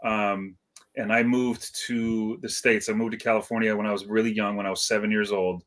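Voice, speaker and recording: A man's voice: on the low side, little pitch movement, brisk, a bit bright. A middle-aged man from Iceland, a guest DJ in a casual interview, talking in a laid-back, modest way with many fillers and restarts.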